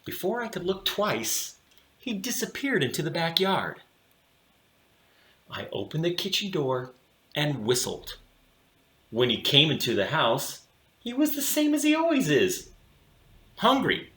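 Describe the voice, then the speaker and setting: A man reads a children's storybook in a theatrical, highly animated way. He takes his time, pausing deliberately for drama as his pitch rises and dips. The room adds a noticeable echo around his voice. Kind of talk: expressive read-aloud of a children's picture book. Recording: roomy, echoing room sound.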